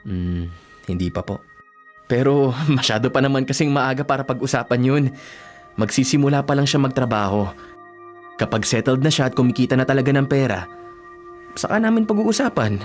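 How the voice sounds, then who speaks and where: An actor playing a devoted, self-sacrificing young working man in a Filipino radio drama, speaking gently and earnestly with a Filipino accent. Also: mid-range voice, lively intonation, fairly quick, pauses often, faint music behind, lightly acted. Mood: earnest, defending. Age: young adult. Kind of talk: Tagalog radio drama acting.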